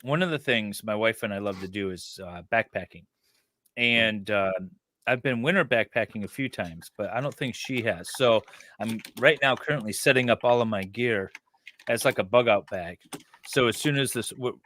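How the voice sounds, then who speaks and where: A man's voice: on the low side, moderate pace, bright, forceful tone, no room sound. A man co-hosting a livestream, talking casually and sometimes reading aloud. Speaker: livestream co-host.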